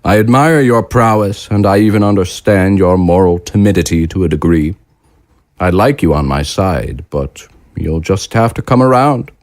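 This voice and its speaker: A man in an audio drama playing a cold, calculating wartime leader who speaks in a measured, formal, quietly menacing way. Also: deep voice, no room sound, studio quality, natural voice. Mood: calm, threatening.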